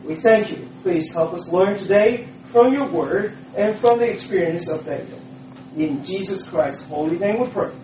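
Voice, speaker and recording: A man introducing a church speaker and leading prayer, halting with frequent filler pauses. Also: high-pitched, darkish tone. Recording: echoey, distant, noisy room mic.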